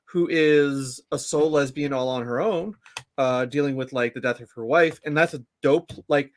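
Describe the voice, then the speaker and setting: A man co-hosting a podcast, talking casually about a TV series with halting restarts. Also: mid-range voice. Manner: halting, many restarts and fillers. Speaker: podcast co-host. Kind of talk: casual fan discussion.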